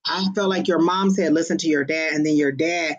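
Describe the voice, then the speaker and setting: A psychic medium giving live-streamed readings in a casual, expressive way, often exclaiming and pausing on fillers. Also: mid-range voice, muffled recording.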